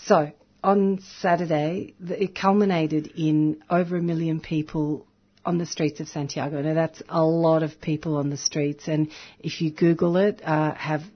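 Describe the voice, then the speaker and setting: A woman's voice: low-pitched, fairly even intonation, normal speed, husky. A woman from Chile, a community radio broadcaster and activist, explaining things earnestly in a radio interview, with frequent ums. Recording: dull lo-fi radio audio.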